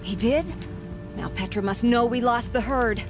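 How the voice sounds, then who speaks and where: Woman playing a high, exasperated young huntress. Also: normal speed, lightly acted. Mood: flustered. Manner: argumentative, snappy. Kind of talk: video game voice acting. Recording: game music behind the voice.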